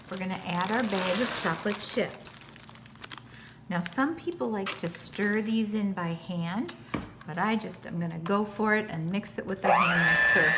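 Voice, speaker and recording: An American woman giving patient, step-by-step cooking instruction in simple, plain terms. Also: mid-range voice. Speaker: teacher giving a cooking demonstration. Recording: noticeable background noise.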